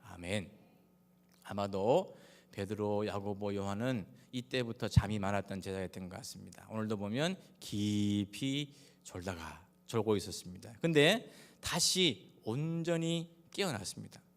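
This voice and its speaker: Man, Gyeongsang-accented Korean preacher. Lowish voice, halting sermon delivery, long hall reverb. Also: lively intonation. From Daegu, South Korea. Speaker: pastor. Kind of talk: sermon with personal anecdotes. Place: large reverberant church hall.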